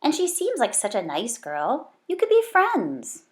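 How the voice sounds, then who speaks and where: A woman's voice: high-pitched, wildly swooping pitch, measured pace, pitched above the performer's own voice, full character voice. Woman reading as a nudging, exasperated storybook mom. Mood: coaxing. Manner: coaxing, reproving. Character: Bean's mother, patient but exasperated, nudging woman. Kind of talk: children's storybook read-aloud.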